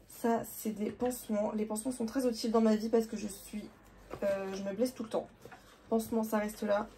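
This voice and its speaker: A young woman with a sore throat and a hoarse voice, chatting casually to viewers as she sorts her makeup, rambling with plenty of fillers. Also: fairly high pitch, dark tone, a little hiss or hum. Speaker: makeup artist vlogger. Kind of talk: casual sorting vlog, talking to viewers.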